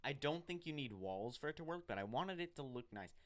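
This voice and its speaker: A man with a raspy voice who says he is getting sick, rambling and unsure of himself, narrating his game in a casual voice-over through a headset mic. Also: mid-range voice, expressive, brisk. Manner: rambling, self-interrupting, unsure. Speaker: gaming YouTuber narrating. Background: game music.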